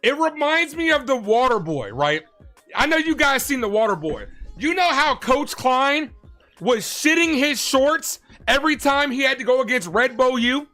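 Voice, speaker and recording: A man's voice: extremely high pitch, lively intonation, moderate pace, pushed and bright, soft background music. A man ranting in a sports commentary clip, swearing and stammering mockingly for effect.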